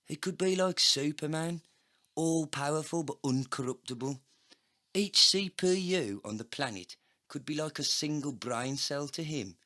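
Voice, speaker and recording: Man doing a high, forceful, ranting cyborg agent. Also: lively intonation, a little slow. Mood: earnest, urgent. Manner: aggressive, emphatic, sweary. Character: foul-mouthed, ranting, hard-drinking cyborg government agent. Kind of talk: comedy sci-fi audio play character.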